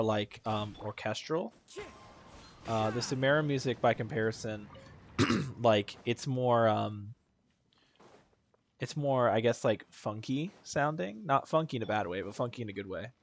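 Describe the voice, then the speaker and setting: A man's voice: mid-range voice, a little hiss or hum. A man giving casual live gameplay commentary as a streamer, informal and rambling, often correcting himself mid-thought. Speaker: game streamer.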